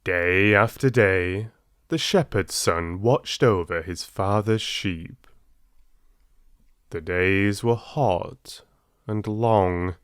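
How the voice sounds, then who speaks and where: A man reading a storybook tale aloud as an audiobook narrator, with expressive, dramatic and deliberate delivery. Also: deep voice, clear, resonant tone, no echo, clean studio sound.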